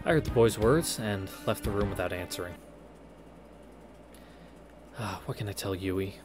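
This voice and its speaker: A man's voice: on the low side, moderate pace. A man reading a visual novel aloud, breaking off into wry, informal commentary, with game music behind him. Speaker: let's-play narrator. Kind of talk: visual novel read-through with casual commentary.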